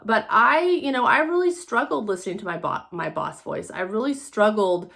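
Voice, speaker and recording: A woman's voice: mid-range voice, lively intonation, moderate pace, gravelly, a bit bright. A woman giving a casual, conversational motivational talk as a vlogger, repeating phrases for emphasis.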